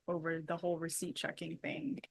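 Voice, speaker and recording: A woman's voice: on the low side, expressive, a little fast, creaky, slightly rough. A woman co-hosting a podcast with her husband, talking casually and agreeably and often affirming him with short "yeah"s. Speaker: podcast co-host.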